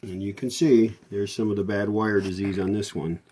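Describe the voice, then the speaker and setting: A man's voice: lowish voice, steady tone, moderate pace, noisy background. A man talking casually and off the cuff as he gives a hands-on repair walkthrough, with folksy, rambling explanations. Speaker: radio repairer presenting a restoration video.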